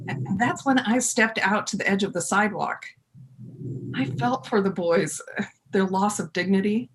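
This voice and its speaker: A woman playing a folksy storyteller in her fifties in a readers theater monologue, conversational and confiding, with dramatic pauses. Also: medium pitch, lightly acted, poor audio. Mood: recounting, confident.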